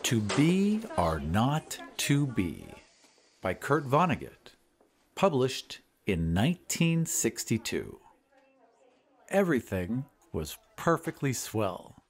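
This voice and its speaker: A man hosting a podcast and narrating an audio drama in a measured, expressive way. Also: mid-range voice, slightly rough. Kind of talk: scripted storytelling narration.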